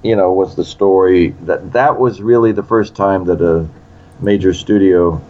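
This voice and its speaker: A man's voice: deep voice, moderate pace, highs cut off. A man in his sixties, a screenwriter in an interview, telling a story animatedly and acting out quotes. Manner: animated, acts out quotes in other voices. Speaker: screenwriter guest.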